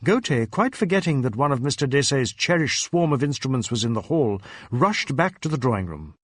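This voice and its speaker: A man reading a crime novel as scripted dramatic narration, in a measured, literary storytelling manner. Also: mid-range voice, big pitch swings, no room sound, studio quality. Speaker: audiobook narrator.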